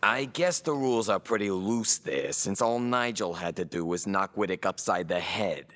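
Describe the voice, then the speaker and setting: A man's voice: sits low, lively intonation, moderate pace, a bit bright. A man playing a sarcastic, exasperated adventurer sidekick with a wry delivery in a fantasy audio drama. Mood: sardonic. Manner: wry, sardonic.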